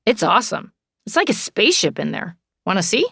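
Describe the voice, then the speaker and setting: A woman's voice: medium pitch, very animated, brisk, gravelly, a bit bright, breathy edge, no room sound, clean studio sound, full character voice. A woman voicing an easygoing, boyish young man, earnest and a little goofy, in audiobook dialogue. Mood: eager.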